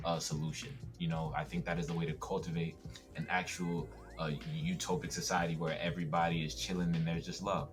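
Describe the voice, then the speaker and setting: Young American male guest, very low voice, loose rambling delivery, clear music bed underneath. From United States. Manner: relaxed, rambling, filler-heavy, swears casually. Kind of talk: casual chat.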